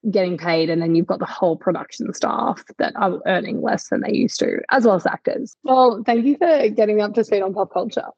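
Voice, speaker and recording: A woman's voice: mid-range voice, a little fast, creaky, hardly any pauses, a little mellow, breathy edge, no echo, highs cut off. A woman co-hosting a podcast, discussing the news in a reflective, conversational way. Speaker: podcast co-host.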